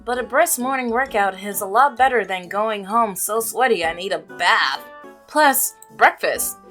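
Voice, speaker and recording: A woman's voice: mid-range voice, measured pace, a bit bright, soft background music, close to an everyday voice. A woman reading the lines of a chatty, friendly young male milkman in a visual novel game, in a cheerful, rambling way. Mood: upbeat chatter. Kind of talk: reading game dialogue aloud.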